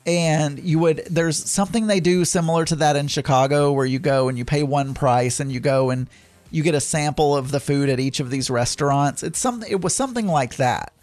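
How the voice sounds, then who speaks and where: A man's voice: a little high, moderate pace, a little mellow, no echo, faint music behind. A man with a Southern accent chatting casually as a podcast host, rambling through his weekend with frequent fillers and self-corrections. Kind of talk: casual chat.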